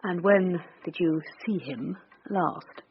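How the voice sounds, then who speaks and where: A woman's voice: on the low side, slow pace. An actress playing a shrewd female English police detective, direct and wry, in a naturalistic radio drama. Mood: stern, probing. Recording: dull lo-fi radio broadcast, faint noise.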